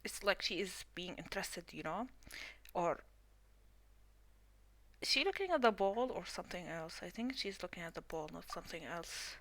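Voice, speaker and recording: A woman's voice: fairly low pitch, very animated, moderate pace, a bit bright. A woman streamer chatting casually and rambling over her gameplay, often correcting herself mid-sentence. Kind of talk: casual game stream commentary.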